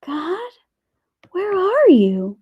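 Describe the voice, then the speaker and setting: Storybook child role read by a woman: curious, earnest little girl, hushed and wondering, lifting high on her questions, slow delivery. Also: big pitch swings, no room sound, highs cut off, full character voice. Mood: hushed, searching. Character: curious young girl searching earnestly for God.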